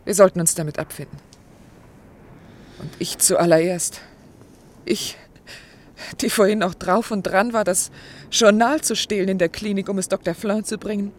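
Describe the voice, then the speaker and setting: An actress playing an anguished, dutiful clinic worker in love in a German radio drama, earnest, formal and restrained. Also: female voice, mid-range voice, moderate pace, pauses often, natural voice. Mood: anguished, resolute. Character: anguished, resigned, dutiful woman working at a clinic, in love with a doctor.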